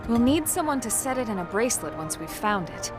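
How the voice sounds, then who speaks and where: A woman playing a kind, sensible, gently chiding teammate in a video game scene. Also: on the high side, big pitch swings, a little fast. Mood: helpful. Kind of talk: video game cutscene acting. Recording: loud music behind the voice.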